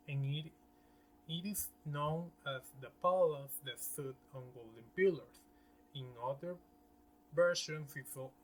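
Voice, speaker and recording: A man presenting scripted narration in a halting way, sometimes stumbling over words. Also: on the high side, a bit bright, slight background noise, low-quality recording.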